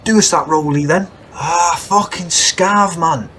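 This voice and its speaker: A man playing brash, foul-mouthed working-class lads in a crime audio drama, with a husky, blunt delivery. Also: fairly high pitch, measured pace, bright, forceful tone, slight background noise. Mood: dismayed, grumbling. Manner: blunt, sweary, slangy.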